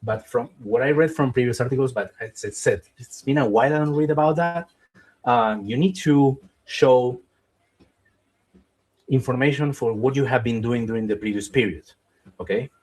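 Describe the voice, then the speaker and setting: A man's voice: medium pitch, normal speed, darkish tone, breathy edge. Man explaining. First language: Spanish. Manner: explanatory, keeps checking in with "okay?"; cautious, qualifies advice with "in my opinion". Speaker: visa webinar host. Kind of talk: live webinar Q&A.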